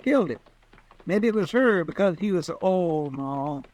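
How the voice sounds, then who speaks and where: A man's voice: high-pitched, lively intonation, moderate pace, a little mellow. A man with a rural Western American drawl playing a simple, folksy, deferential lawman's sidekick in a radio western. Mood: curious, eager. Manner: folksy, hesitant, plain-spoken. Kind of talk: old-time radio western acting.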